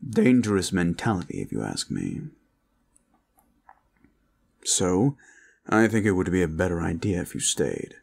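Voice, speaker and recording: A man playing a weary, serious and cautious protector in an audio roleplay, speaking in a measured, earnest way. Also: deep voice, very animated, gravelly, darkish tone, full character voice. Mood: cautious, reassuring.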